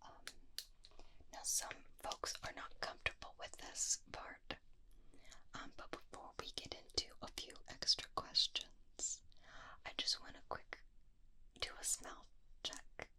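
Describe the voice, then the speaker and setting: A woman whispers very slowly, with a very bright, sibilant edge to her breath. She plays a medical examiner in an ASMR roleplay, gently reassuring and a little hesitant. The voice sits close to a binaural microphone.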